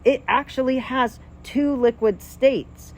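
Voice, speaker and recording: A man's voice: very high-pitched, takes it slowly, darkish tone. A man in his thirties talking through a science topic in a casual monologue, rambling and hesitant with many fillers and restarts, but wondering and enthusiastic. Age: 30s. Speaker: vlogger.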